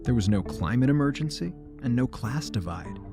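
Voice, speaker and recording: An actor playing a weary, regretful aging man, narrating his own story in a measured, reflective way for a fiction podcast. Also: medium pitch, expressive, lightly acted. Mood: bitter, reflective. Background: music.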